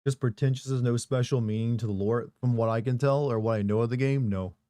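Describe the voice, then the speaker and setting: A man with a hoarse, recovering voice, casually chatting on a live stream and reading viewer messages aloud with rambling, joking asides. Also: mid-range voice, fairly even intonation, fast, warm tone, no room sound, studio quality.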